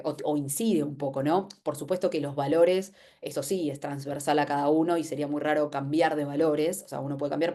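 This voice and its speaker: A woman's voice: deep voice, warm tone. A woman with an Argentine accent gives an informal explanatory webinar talk, conversational, posing questions and voicing imagined remarks. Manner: conversational, poses rhetorical questions, acts out imagined quotes. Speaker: webinar presenter.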